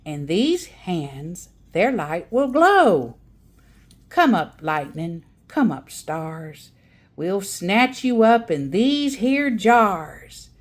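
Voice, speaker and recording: A woman's voice: medium pitch, big pitch swings, very slow, full character voice. A woman voicing a spirited old grandmother who tells wondrous tales in a folksy, dramatic storybook style. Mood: hushed wonder. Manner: folksy, dramatic, savoring suspense. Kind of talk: storybook read-aloud character.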